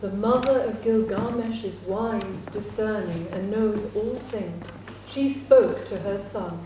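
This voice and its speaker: A woman's voice: mid-range voice, slow, warm tone, recorded from a distance, a little hiss or hum. Woman narrating from afar in an echoey hall. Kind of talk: dramatic reading of an ancient epic.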